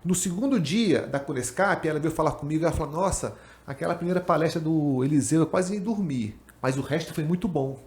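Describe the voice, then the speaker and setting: A man in his fifties from Pernambuco, hosting a podcast and chatting in an animated, slangy way. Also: a little high, fairly quick. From Pernambuco, Brazil. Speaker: podcast host, accountant. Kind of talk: casual chat. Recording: echoey room.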